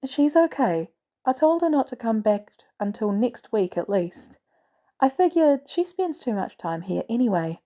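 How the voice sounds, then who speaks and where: A woman voicing a poised, caring female executive with composed, gently teasing delivery in a story reading. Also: fairly high pitch, sing-song, measured pace. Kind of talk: storybook character dialogue.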